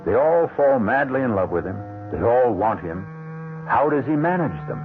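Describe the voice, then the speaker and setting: An actor playing the wry, sardonic American host of a radio mystery, narrating the story. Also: male voice, medium pitch, sing-song, normal speed. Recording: tinny old radio recording. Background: loud music.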